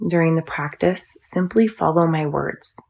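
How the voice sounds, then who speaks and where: Husky, creaky woman guiding meditation. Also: low voice, a little mellow. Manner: soothing, measured, instructional. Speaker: yoga nidra teacher. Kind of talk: guided meditation.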